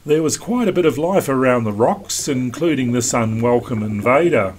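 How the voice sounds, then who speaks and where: Calm man narrating a sailing voice-over. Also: medium pitch, normal speed. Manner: calm, instructive. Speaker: sailing vlog host. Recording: wind noise on deck.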